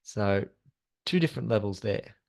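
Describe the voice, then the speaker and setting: A man's voice: fairly low pitch, lively intonation, normal speed, vocal fry, a little mellow. A man presenting a slide-based training session as an instructor, explaining things in an informal, conversational way.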